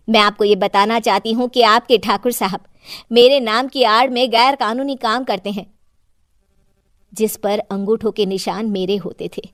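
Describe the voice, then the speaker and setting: A woman's voice: mid-range voice, dry recording, studio quality, lightly acted. A woman voicing an earnest, plain-spoken wronged wife and councillor in a cartoon moral story. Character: meek, wronged wife and councillor finding her courage.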